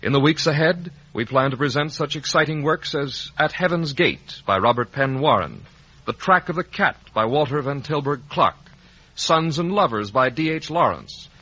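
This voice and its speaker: A man's voice: mid-range voice, lively intonation, moderate pace, pushed and bright. A man announcing a 1950 network radio program in a formal, polished manner. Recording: muffled vintage radio broadcast.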